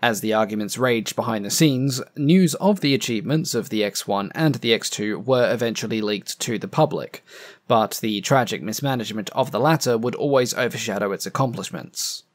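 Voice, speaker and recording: Earnest man narrating aviation history. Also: medium pitch. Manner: measured, earnest storytelling. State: voice a bit up and down from losing it. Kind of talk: scripted documentary narration.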